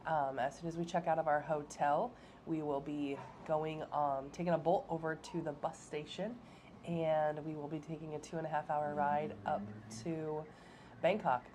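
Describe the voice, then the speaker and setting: A woman with a low voice and a clogged, stuffed-up nose talks through her travel day in an easy, rambling way, filling pauses with ums and uhs. A faint hum of ambience sits behind her. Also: level tone, darkish tone. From American Midwest. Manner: chatty, frequent ums and uhs. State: stuffed-up nose from a head cold. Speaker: travel vlog co-host. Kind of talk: casual travel vlog chat.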